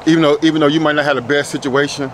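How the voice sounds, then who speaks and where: Man, quick talk, slightly high voice, street interviewer, faint outdoor noise. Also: flat delivery. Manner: quick, teasing, repeats himself. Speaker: street interviewer and channel host. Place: outdoors by a building and parking lot.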